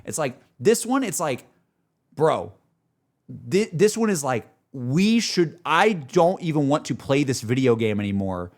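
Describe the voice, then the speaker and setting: A man's voice: on the high side, big pitch swings, unhurried. A man chatting casually as a streamer, effusive and slangy, repeating his phrases for emphasis. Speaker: streamer and video host. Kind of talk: casual live reaction chat to game music. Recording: music playing behind the voice. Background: video game battle music.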